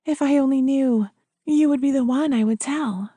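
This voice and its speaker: Woman playing a guarded, troubled widow, mellow voice. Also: sits high, normal speed, dry recording, pitched above the performer's own voice, close to an everyday voice. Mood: troubled, frank.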